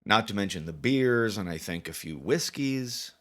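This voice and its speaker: A man telling a first-person story as a dramatic confession, with deliberate pauses and mock-grave, theatrical emphasis. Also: lowish voice, expressive, fairly bright tone. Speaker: storytelling podcast narrator.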